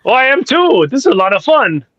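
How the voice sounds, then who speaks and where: A man voicing a cheeky little puppet creature in a high, teasing voice, recorded dry and slightly muffled. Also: sing-song, a little fast, fairly bright tone, no room sound, heavily acted. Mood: playful. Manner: playful, teasing.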